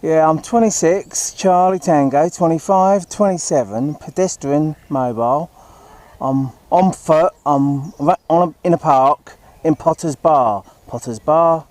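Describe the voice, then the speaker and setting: A man's voice: on the high side, measured pace, a little mellow, no echo. A man making a CB radio call, giving phonetic callsigns and repeating his phrases for clarity. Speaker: amateur CB radio operator on foot. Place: outdoors in a park. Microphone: handheld CB radio.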